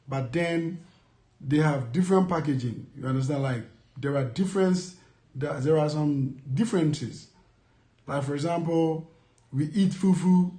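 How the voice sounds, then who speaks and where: A man's voice: fairly high pitch, dark tone. A young man from Ghana with a Ghanaian accent, chatting casually to camera as a vlogger, rambling and repeating himself with many fillers. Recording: echoey room.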